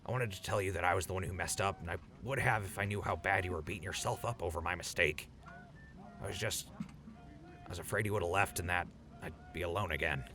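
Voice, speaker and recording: A gruff, loyal wastelander from an audio drama a man acting. The voice is low and full of gravel, with a sharp forceful edge. He talks in an awkward, hesitant, apologetic way. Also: fast, slight background noise. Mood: remorseful.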